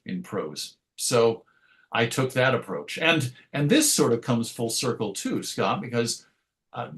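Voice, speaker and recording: A middle-aged man talking reflectively in an interview, articulate and measured, with a modest, self-deprecating way of putting things. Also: mid-range voice. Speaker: interview guest, writer and radio show host.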